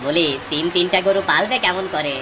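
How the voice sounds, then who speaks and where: A woman's voice: fairly low pitch, a little fast, noisy background, close to an everyday voice. A woman voicing a talkative, needling village neighbour man in a moral cartoon. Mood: teasing.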